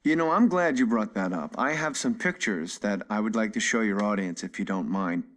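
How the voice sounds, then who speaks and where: A man's voice: lowish voice, animated, normal speed, a bit bright. An actor playing a smug male corporate executive in a video game talk-show parody, polished, rhetorical and salesmanlike. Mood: smooth self-promotion.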